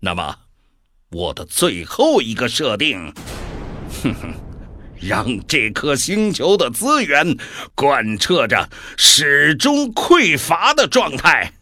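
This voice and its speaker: An actor playing a scheming, taunting devil in a radio drama, musing aloud in a theatrical, deliberate way. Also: male voice, medium pitch, very animated, bright, forceful tone, no echo, heavily acted. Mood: sly, menacing. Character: scheming male devil, amused, taunting creator of worlds.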